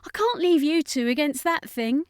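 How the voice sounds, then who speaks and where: A woman's voice: sits high, lively intonation, normal speed, no room sound, clean studio sound, pitched above the performer's own voice. A woman voicing an earnest, caring young heroine in an audiobook, with an emotional manner. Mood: defiant. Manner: reassuring, emotional.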